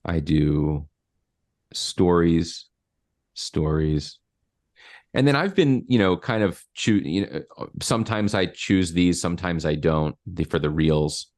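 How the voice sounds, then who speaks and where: Low-voiced man in his forties coaching. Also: lively intonation, slow pace, a little mellow. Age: 40s. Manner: explanatory, step-by-step, with many fillers. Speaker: marketing coach. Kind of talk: screen-share coaching session.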